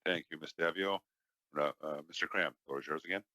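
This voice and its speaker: A man briskly moderating as a committee chair, in a procedural style. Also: extremely low pitch, sharp tone, no echo.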